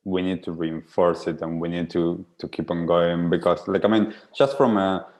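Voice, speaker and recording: A man from Argentina, whose first language is Spanish, hosts the podcast in a very low voice. He thinks aloud in a reflective way, leaning on fillers and restarting his sentences. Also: fairly even intonation, normal speed, darkish tone. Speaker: podcast host. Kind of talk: conversational podcast interview.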